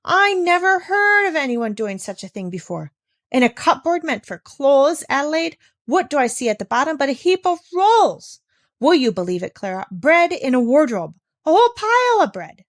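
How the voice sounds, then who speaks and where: Woman voicing a stern, shrill, exasperated housekeeper lady. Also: big pitch swings, moderate pace, no echo, studio quality. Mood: horrified, outraged. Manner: haughty, scolding, exclamatory. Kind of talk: children's storybook character reading.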